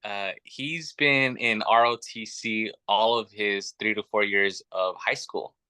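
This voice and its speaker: A man's voice: fairly low pitch, steady tone, slow pace, bright, forceful tone, clear, resonant tone, no echo. A man in his thirties, a guest telling his family story in an earnest, chatty way with many fillers. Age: 30s. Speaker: podcast guest. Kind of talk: casual chat.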